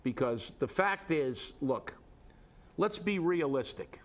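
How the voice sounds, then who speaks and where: A man's voice: fairly high pitch, expressive, slow. A man with a head cold, stuffed up, hosting a local talk show and ranting with sarcasm and indignation. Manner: indignant, sarcastic, lots of restarts. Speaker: co-host.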